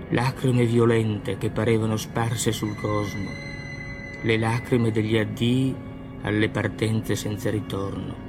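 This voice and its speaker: A man giving a solemn poetry recitation, declaimed in measured short phrases. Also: fairly low pitch. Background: music.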